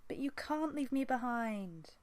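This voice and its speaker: A woman voicing a spirited, stubborn young female apprentice cat in an audiobook, with a bright, girlish, insistent delivery. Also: on the high side, lively intonation, unhurried, no echo, voice pushed up. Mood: pleading. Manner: pleading, insistent.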